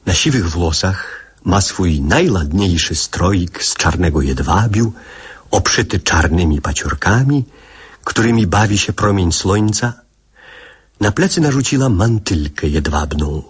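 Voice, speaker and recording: An actor playing a wistful father narrating his childhood memories in a literary radio play, with a husky voice. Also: low voice, animated, takes it slowly, full character voice.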